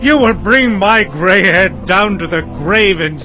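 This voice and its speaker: An actor playing a grieving, aggrieved old father in a dramatized audio Bible, pleading forcefully and sorrowfully. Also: high-pitched, animated, measured pace. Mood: anguished. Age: older. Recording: music behind the voice.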